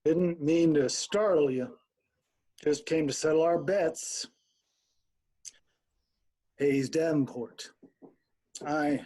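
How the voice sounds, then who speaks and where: A man's voice: on the high side, slow, long pauses, warm tone, poor audio. A man playing a sleazy band manager in his mid-sixties, with a folksy drawl, in a screenplay table read. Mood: folksy, sly. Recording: remote virtual table read.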